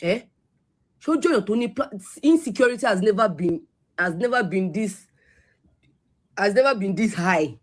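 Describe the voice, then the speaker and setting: A woman's voice: medium pitch, expressive, moderate pace, long pauses. A Yoruba-speaking woman with a Yoruba accent, scolding at length in an angry online rant and switching between Yoruba and English. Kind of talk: angry social media rant.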